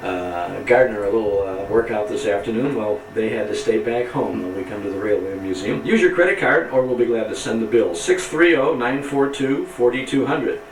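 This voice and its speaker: A man's voice: fairly low pitch, expressive, fairly quick, hardly any pauses. American male radio host, live on location, roomy distant pickup with light background noise. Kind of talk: live broadcast hosting and interviewing. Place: back platform of old passenger railcar. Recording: echoey distant camcorder audio, faint railyard noise. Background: faint train and outdoor ambience.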